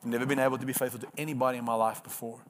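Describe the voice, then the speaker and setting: A man's voice: medium pitch, little pitch movement, rapid-fire, a little hiss or hum. Earnest Afrikaans-accented man preaching. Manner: earnest storytelling with emphatic repetition. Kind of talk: sermon testimony.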